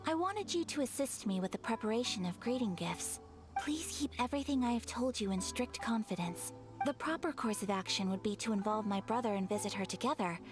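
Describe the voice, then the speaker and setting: A woman's voice: on the high side, expressive, fairly quick, natural voice. A woman voicing a gracious, formal young noblewoman in a video game, speaking politely and composedly. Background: game music.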